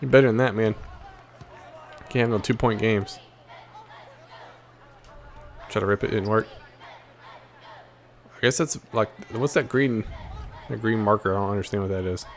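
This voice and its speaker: A man's voice: lowish voice, moderate pace, long pauses, a faint crowd. A man giving casual, slangy gameplay commentary, cocky and playful with plenty of trash talk. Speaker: gaming video host.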